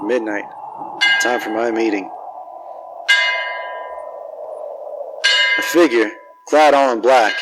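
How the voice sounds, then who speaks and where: An actor playing a world-weary, wisecracking private detective in a noir radio drama, tough, slangy and wry. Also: male voice, mid-range voice, background noise, natural voice. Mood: brooding, tense. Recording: long hall reverb, music behind.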